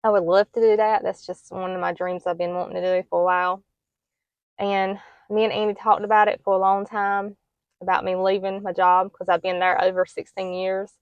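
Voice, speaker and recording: A middle-aged woman who talks casually to the camera in a soft, plain and hesitant way, with many ums. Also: medium pitch, little pitch movement, brisk, clear, resonant tone, no echo, muffled recording. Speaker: homestead vlogger.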